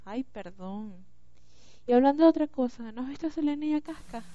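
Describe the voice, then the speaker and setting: Radio play role: easily annoyed female prefect, woman performer, mellow tone, muffled lo-fi sound. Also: high-pitched, animated, brisk. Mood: apologetic. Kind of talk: amateur Spanish-language radio play acting. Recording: muffled lo-fi home recording.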